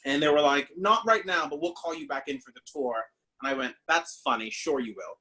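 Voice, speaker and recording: A man's voice: a little high, fairly quick, pushed and bright, low-quality recording. A man from the Bahamas, a stage actor, telling his story in a casual interview over a video call, chatty and full of fillers. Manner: chatty, with many fillers and restarts.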